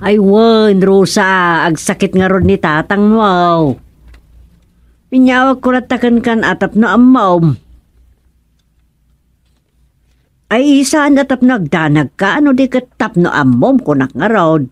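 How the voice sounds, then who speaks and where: A woman's voice: mid-range voice, lively intonation, moderate pace, no room sound, close to an everyday voice. A dry, close recording of an actress playing a chatty village woman in an Ilocano radio comedy-drama. She has an Ilocano accent and a mid-range voice. She fusses, exclaims and asks questions in a gossipy, concerned way. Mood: anxious, fussing.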